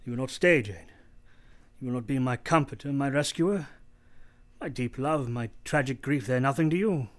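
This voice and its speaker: A man's voice: medium pitch, normal speed, close to an everyday voice. A man playing an anguished master of a manor in a period radio play, pleading with passion. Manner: impassioned, pleading.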